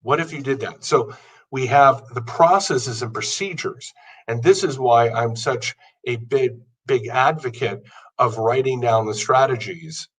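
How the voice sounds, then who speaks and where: A man's voice: medium pitch, a little slow, fairly bright tone, breathy edge, far from the mic, poor audio. A man in his fifties giving an earnest motivational talk, building his points through long lists. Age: 50s. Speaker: podcast host. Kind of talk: motivational monologue.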